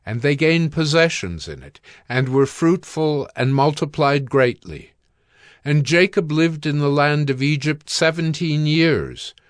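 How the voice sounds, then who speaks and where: A man's voice: medium pitch, no echo, studio quality. A man reading scripted Bible narration for an audio drama.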